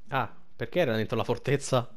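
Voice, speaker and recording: A man's voice: medium pitch, a little fast. An Italian man giving casual, rambling and crude commentary over a video game. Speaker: gameplay streamer commentator. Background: game music.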